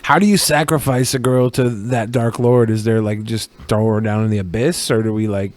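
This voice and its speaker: A man's voice: on the low side, fairly even intonation, normal speed, darkish tone, dry recording, studio quality. A man co-hosting a casual live podcast, rambling through crude, joking chatter. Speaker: podcast co-host.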